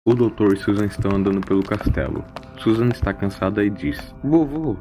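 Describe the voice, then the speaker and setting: A man's voice: fairly low pitch, little pitch movement, brisk, mellow. A man reading scripted narration for an audio drama in a storytelling style. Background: faint music.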